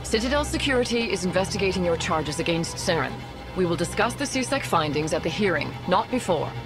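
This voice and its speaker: A woman playing a stern, dismissive alien councilor in a video game, formal and measured. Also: on the low side, animated, over music.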